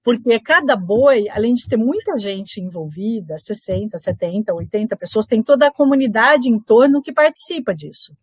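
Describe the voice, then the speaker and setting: A woman, a founder of a theatre and dance group, explaining folk traditions in a live-stream conversation, unhurried and repeating phrases for emphasis. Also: fairly high pitch, animated, slightly rough, no echo.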